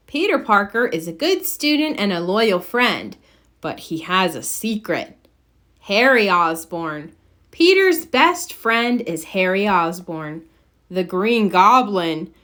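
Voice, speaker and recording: A woman reads a children's story aloud in an expressive style. Her pace is very slow and deliberate, while her medium-pitched voice moves up and down in a lively way. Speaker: storybook narrator. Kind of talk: children's read-aloud, scripted narration.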